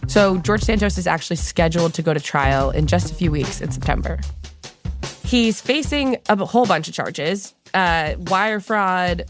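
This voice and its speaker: A woman's voice: on the low side, gravelly. An American woman from Long Island, a podcast producer, telling a reported story in a conversational narrative style. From Long Island, New York, United States.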